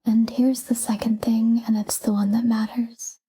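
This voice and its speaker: A woman softly guiding a sleep meditation in a calm, whispery, breathy voice, speaking in short, unhurried phrases with pauses. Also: fairly high pitch, low-quality recording. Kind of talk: soft guided sleep meditation, whispered talk-down.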